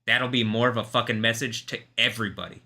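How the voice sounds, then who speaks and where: A man hosting a live stream, talking in an intense, rambling way, confrontational at times and soft-spoken at others. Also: mid-range voice, steady tone, quick pace. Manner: calls himself soft-spoken. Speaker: livestream host.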